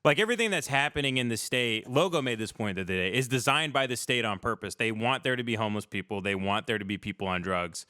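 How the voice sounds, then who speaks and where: A man's voice: mid-range voice, quick pace, hardly any pauses, sharp tone, smooth, no room sound, clean studio sound. A man chatting casually on a livestream talk show, speaking informally with slang and swearing.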